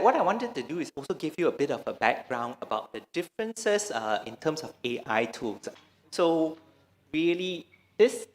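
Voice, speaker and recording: A man from Malaysia gives a talk on stage at a medical conference. His voice sits at a middle pitch and trails with a long echo through a large hall. He speaks haltingly, often restarting his sentences. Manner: hesitant, many restarts and fillers. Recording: reverberant lecture hall.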